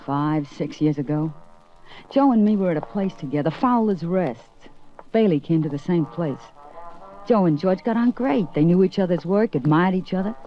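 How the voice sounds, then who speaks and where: An actress in 1950s radio drama playing a world-weary, flirtatious taxi dancer who teases and turns bitter. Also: female voice, on the low side, lively intonation, moderate pace, lightly acted. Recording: dull old radio broadcast, music behind.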